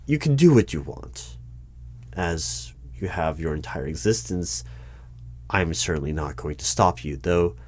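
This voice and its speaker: Male performer as cautious bureau hiring manager, ASMR roleplay. Deep, slow, hesitant, dryly polite. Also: slight background noise. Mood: hesitant, wary. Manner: hesitant, deadpan, measured. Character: wary, polite hiring manager of a secret bureau interviewing a dragon. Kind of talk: one-sided ASMR interview roleplay. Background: computer keyboard typing and clicks.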